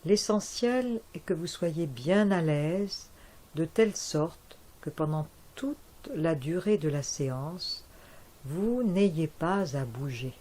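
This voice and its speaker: A woman guiding a yoga nidra relaxation in a soft, calm voice with long pauses. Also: sits low. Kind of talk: guided relaxation.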